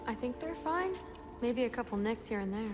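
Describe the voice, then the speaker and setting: A woman's voice: a little high, expressive, moderate pace, music in the background, close to an everyday voice. A woman voicing a tough, plucky young warrior daughter in a fantasy video game, with a casual, matter-of-fact manner. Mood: teasing, casual.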